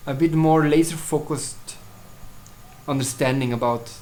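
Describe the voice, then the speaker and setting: A man from Switzerland whose first language is German, speaking English in a casual solo video about his astrology services, halting often with fillers and restarts. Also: fairly high pitch, fairly even intonation, darkish tone.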